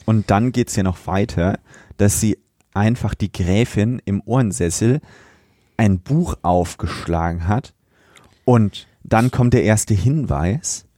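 A man's voice: fairly low pitch, slow, warm tone, dry recording, studio quality. A man co-hosting a podcast, casually retelling and discussing the plot of an audio drama. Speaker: podcast co-host.

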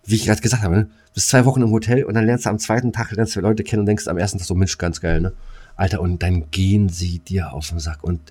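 Low-voiced, slangy storyteller, a man. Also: fairly even intonation, quick pace, darkish tone, breathy edge. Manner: coarse, slangy, exasperated storytelling. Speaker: podcast co-host. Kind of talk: casual chat, storytelling.

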